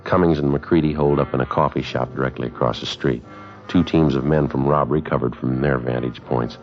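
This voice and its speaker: Very deep, deadpan police sergeant. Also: male voice, fairly quick, faint music behind. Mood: matter-of-fact. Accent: American. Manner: clipped, matter-of-fact, deadpan. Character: terse, businesslike male police sergeant, narrating his case. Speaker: detective and narrator. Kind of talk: 1950s radio police drama acting and narration. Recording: dull vintage 1950s radio broadcast.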